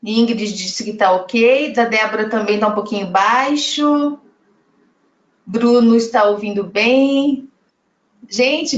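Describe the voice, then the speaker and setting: Brazilian woman running an online panel, kinda high voice, echoey muffled call audio. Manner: chatty, reads audience comments aloud. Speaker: panel moderator. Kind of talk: live online panel hosting. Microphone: video call.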